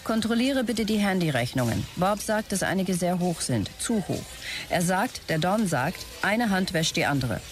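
An actor playing a busy start-up boss who dictates emails in clipped phrases, in an audio drama. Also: male voice, high voice, very animated, moderate pace, soft background music. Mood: businesslike.

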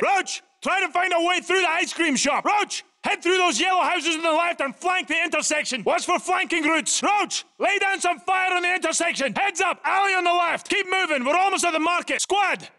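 An actor playing a tough, urgent special forces squad leader in a video game, giving clipped, commanding military orders. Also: male voice, very high voice, pushed and bright, exaggerated voice. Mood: desperate, urgent. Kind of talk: video game battle dialogue.

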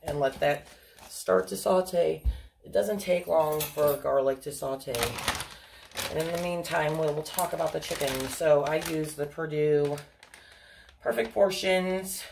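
A woman in her thirties with a strongly gravelly, fry-heavy voice chats casually while she cooks, rambling along with ums and quick apologies. She's recorded on a camera pointed down at her kitchen stove, and a clear sizzle of frying food runs under her talk. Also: deep voice, noisy background. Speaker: home cooking vlogger. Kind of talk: casual cook-along chat. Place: home kitchen, cooking at the stove. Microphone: fixed camera angled down at the stove. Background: frying sizzle and stirring.

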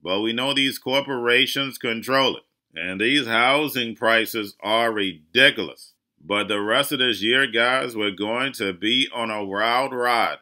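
A man's voice: mid-range voice, a little slow, bright, forceful tone. A man hosting his own crypto channel, talking urgently and preachily, addressing viewers as "guys" and repeating warnings. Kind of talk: monologue market commentary.

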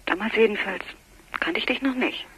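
An actress playing a sharp-witted, teasing lover of a policeman in a radio crime drama, speaking through a telephone filter. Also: medium pitch, moderate pace. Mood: skeptical, teasing. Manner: teasing, ironic. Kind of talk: naturalistic German radio crime drama acting. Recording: muffled telephone line with noise.

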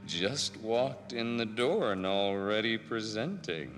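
Casting: flamboyant, lewd game psychopath, very slow swooping delivery in a cavernous reverb. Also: male voice, mid-range voice. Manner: lewd, taunting, drawn-out. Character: leering, sadistic, flamboyant male psychopath in fetish gear. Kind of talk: video game cutscene acting. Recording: long hall reverb.

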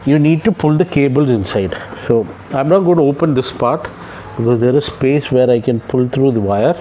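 A young man giving casual step-by-step how-to instructions, explaining things haltingly with pauses as he works. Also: mid-range voice, rough recording. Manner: halting, explanatory, often pausing mid-sentence while working. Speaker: vlogger giving a how-to demonstration. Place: indoors at home, seated on the floor. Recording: steady ambient room noise.

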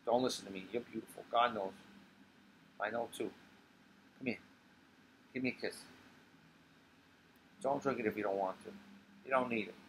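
A man's voice: on the low side, steady tone, normal speed, pauses often, slight background noise, lightly acted, low-quality recording. Man playing drunken, coarse, doting old woman. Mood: doting, maudlin. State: acting drunk.

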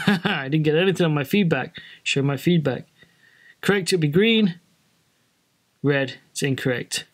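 A British man giving an informal, explanatory walkthrough as a flight training presenter in a casual tutorial style. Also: on the high side. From Britain.

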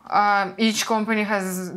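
A young woman from Russia with a Russian accent, chatting as a guest in an interview and telling stories with lively, non-native phrasing, recorded with a lapel mic in a small studio room. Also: mid-range voice, unhurried. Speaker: guest, former cruise ship assistant waiter. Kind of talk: casual interview chat.

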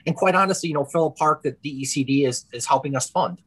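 A man in his forties giving brisk, earnest testimony over a video call, with frequent you knows. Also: a little high, fairly bright tone, slightly rough. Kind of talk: hearing testimony.

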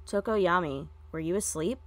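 A woman voicing a weary, stern but kind male teacher in a story, deadpan in manner. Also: lowish voice, moderate pace, no room sound, natural voice. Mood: questioning.

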